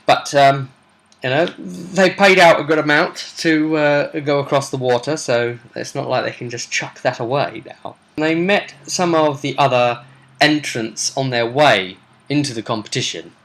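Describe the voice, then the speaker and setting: Bright-voiced British man telling game stories. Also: medium pitch, unhurried. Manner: animated, chatty, acts out brief quotes. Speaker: host and game master recapping sessions. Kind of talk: casual rambling storytelling recap of a tabletop role-play.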